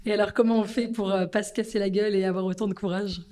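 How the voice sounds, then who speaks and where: A woman's voice: mid-range voice, steady tone, quick pace, warm tone. A woman hosting a podcast, interviewing guests on a panel and asking hesitant questions full of fillers. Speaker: podcast host.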